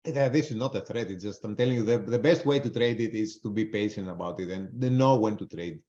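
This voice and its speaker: A man's voice: fairly low pitch, a little fast, warm tone. Blunt man with an accent, lo-fi webinar. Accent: non-native English. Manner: blunt, casual, swears freely. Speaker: trader presenting a webinar. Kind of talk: live trading commentary with audience Q&A. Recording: dull lo-fi webinar audio.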